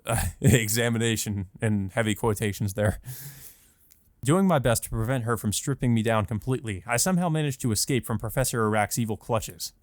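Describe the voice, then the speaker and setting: A man's voice: fairly low pitch, a little fast, no room sound, studio quality. A man hosting a let's play, reading a visual novel aloud with casual, amused, sometimes profane commentary.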